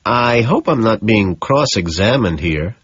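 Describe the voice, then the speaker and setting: A man voicing a nervous, evasive, wealthy businessman of twenty-seven in audiobook dialogue, speaking haltingly. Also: on the low side, animated, a bit bright, slightly rough, dry recording, muffled recording, voice pushed up, heavily acted. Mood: uneasy.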